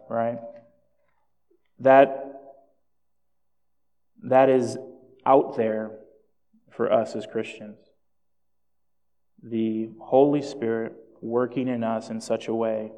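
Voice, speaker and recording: A man's voice: medium pitch, dark tone. A man born in Jamaica and raised in South Florida, preaching a sermon and telling stories with self-deprecating asides. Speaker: pastor. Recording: long hall reverb.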